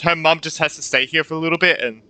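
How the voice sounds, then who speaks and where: A woman's voice: deep voice, steady tone, quick pace, bright, forceful tone. A woman giving casual, blunt gameplay commentary as a streamer, informal in her wording. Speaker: gaming streamer reacting to gameplay. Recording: game music behind the voice.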